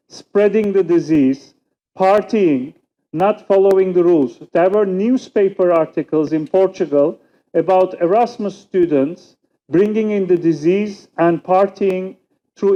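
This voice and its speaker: Turkish-accented man giving a measured talk. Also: sits high, highs cut off. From Turkey. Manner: reflective, measured, with frequent pauses and fillers. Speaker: conference panelist. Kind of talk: conference talk.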